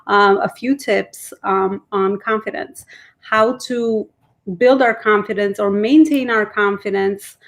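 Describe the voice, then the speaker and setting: A woman hosting an interview podcast, asking long, enthusiastic, rambling questions full of fillers over a video call. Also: medium pitch. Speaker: podcast host. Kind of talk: interview conversation.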